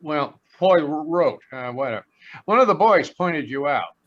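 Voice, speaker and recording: A man's voice: fairly high pitch, expressive, a little slow. A man voicing an eager young male elevator boy in a live radio drama, offhand and casual. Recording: dull lo-fi video call audio.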